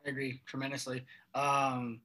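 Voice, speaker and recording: A man's voice: mid-range voice, monotone, normal speed, pushed and bright. A young man, a guest on a casual podcast, rambling with many fillers as he speaks. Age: young adult.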